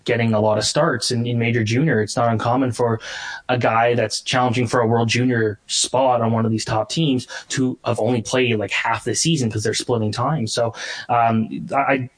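Male sports analyst, mid-pitched, halting delivery. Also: steady tone. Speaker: hockey analyst, guest writer. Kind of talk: casual sports analysis in an interview.

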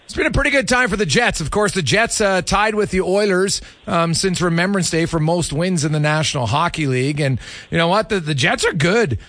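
A man's voice: high voice, expressive, brisk, fairly bright tone, no room sound. A man hosting a sports talk show, chatting casually and asking questions in an informal, buddy-like way. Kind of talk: casual sports chat and interviewing.

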